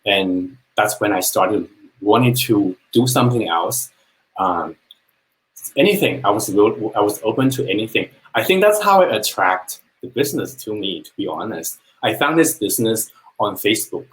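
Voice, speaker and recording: A man's voice: fairly low pitch, animated, normal speed, rough recording. A man from China, speaking English as a second language, telling his personal business story on a live webinar in an earnest, plain-spoken way. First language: Chinese.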